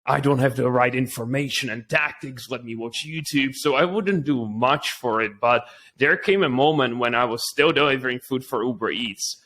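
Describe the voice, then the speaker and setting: A man's voice: medium pitch, moderate pace, fairly bright tone. A man from the Czech Republic with a Czech accent, speaking English as a second language as an interview guest telling his story conversationally.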